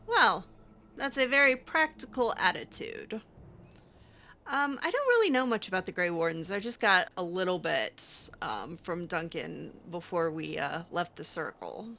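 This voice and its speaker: A woman's voice: on the low side, big pitch swings, vocal fry, sharp tone, full character voice. A woman voicing a curious, wry young mage in an improvised video game roleplay, talking in a hesitant, conversational way. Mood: wry, skeptical. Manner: hesitant, conversational, halting asides.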